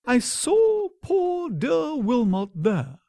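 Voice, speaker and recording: A man's voice: extremely high pitch, huge pitch swings, drawn-out words, vocal fry, dark tone, no room sound, clean studio sound, voice pushed up, full character voice. A man voicing a haughty, indignant upper-class lady in a comic story, imperious and plummy.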